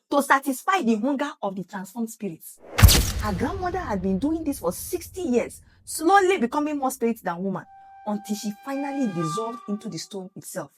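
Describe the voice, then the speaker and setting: A woman's voice: fairly high pitch, heavy background noise. A woman narrating a scripted story dramatically, emphatic and speaking straight to the listener.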